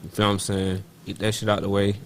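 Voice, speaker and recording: A man's voice: on the low side, monotone, quick pace, slight background noise. A man co-hosting a podcast in laid-back, slangy casual chat, calling people bro. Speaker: podcast co-host.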